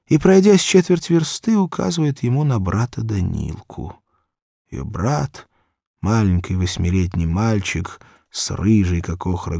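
A male audiobook narrator tells a children's literary tale at a slow, measured pace. His mid-pitched voice has a gravelly creak and rises and falls dramatically. The sound is dry and close. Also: dark tone, dry recording. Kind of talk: expressive literary storytelling for children.

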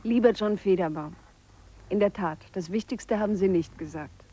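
A woman's voice: medium pitch, moderate pace, warm tone, slightly rough, close to an everyday voice. A woman acting a matter-of-fact biologist who delivers a composed letter report in a radio drama.

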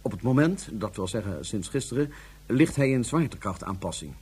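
An actor playing a composed, calculating ruler on Mars who speaks formally and deliberately, with small hesitations, in a radio drama. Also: male voice, fairly low pitch, animated, darkish tone, slightly rough. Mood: calm, scheming. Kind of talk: Dutch science fiction radio drama acting.

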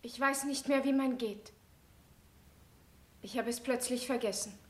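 A woman's voice: on the high side, a little slow, lightly acted. An actress playing a loving, anxious young queen, earnest and emotional, in a 1960s German radio play. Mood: helpless. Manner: soft, earnest, emotional pleading.

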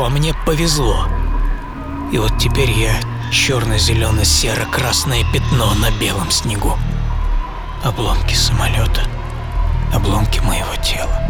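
A man's voice: mid-range voice, natural voice. Brooding wounded pilot, acted by a man, over music. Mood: grim, pained. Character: wounded German pilot turned avant-garde artist, brooding, reflective. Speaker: storyteller. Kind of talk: dramatized first-person radio monologue. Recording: music under the voice. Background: prominent music.

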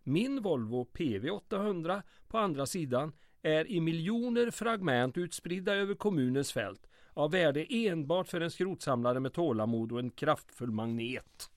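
A man plays a long-suffering mayor in an old-time radio play, his voice a little high for a man. He speaks in weary, formal, sardonic phrases, recorded dry and close. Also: expressive, no echo, lightly acted. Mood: gloomy, resigned. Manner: dry, formal, wordy sarcasm. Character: weary, sardonic male small-town mayor under occupation.

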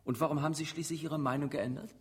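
A man's voice: on the high side, fairly even intonation, quick pace, slightly rough. An actor in a radio drama playing a sceptical, insistent male defence lawyer with a formal, pointed way of speaking. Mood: sceptical, probing. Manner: pointed, incredulous courtroom questioning. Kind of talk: German radio drama acting.

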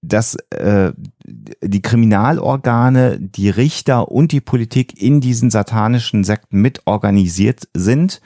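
A man's voice: fairly low pitch, animated, a little slow, warm tone, no echo, studio quality. A man, co-hosting a podcast and explaining things in an earnest, emphatic way. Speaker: podcast co-host.

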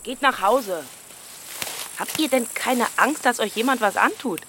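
Sharp, forceful scolding village aunt. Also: female voice, on the high side, expressive, unhurried, a little hiss or hum. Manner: scolding, curt, warning. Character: stern, scolding village aunt, grim and bitter. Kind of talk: German radio play acting.

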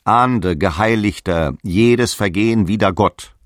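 Actor as a deep, slow, stern sect zealot. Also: male voice, no room sound, studio quality, lightly acted. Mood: stern, grave. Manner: slow, preaching, severe. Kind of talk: solemn radio drama monologue.

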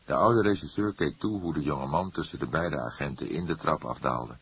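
A man narrating a crime novel in a measured, dramatic storytelling manner. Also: very deep voice, a bit bright, slightly rough. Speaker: audiobook narrator.

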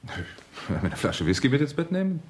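An actor playing an evasive, placating husband with a guilty secret in a radio crime drama, by turns soothing and impatient. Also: male voice, medium pitch, big pitch swings, moderate pace, close to an everyday voice. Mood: coaxing, evasive.

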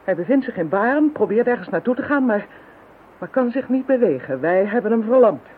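A woman's voice: a little high, animated, a little fast, natural voice. A woman playing a fierce, determined psychic in an audio drama, speaking emphatically. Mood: assured. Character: fierce, determined woman leading psychic fight against villains. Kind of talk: Dutch audio drama acting. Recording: thin phone-like sound, faint noise.

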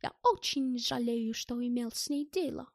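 Женщина озвучивает в аудиокниге пожилого робкого и эмоционального немца, который с немецким акцентом говорит на ломаном языке. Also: female voice, on the high side, darkish tone, dry recording, studio quality, lightly acted. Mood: agitated, bewildered. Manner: plaintive, emotional, halting foreign phrasing.

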